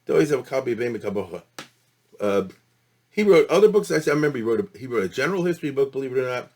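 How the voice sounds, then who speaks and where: A man's voice: mid-range voice, sing-song, rapid-fire, a little mellow. Man giving an informal, conversational lecture. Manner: conversational asides, checks in with listeners; peppers speech with Hebrew and Yiddish terms. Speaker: rabbi and history lecturer. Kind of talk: informal lecture on religious history.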